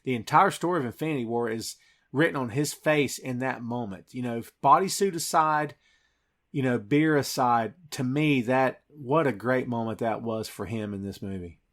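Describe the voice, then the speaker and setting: A man's voice: medium pitch, moderate pace. A man hosting a podcast, talking through a film scene by scene in an emphatic, dramatic storytelling way. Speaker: podcast host.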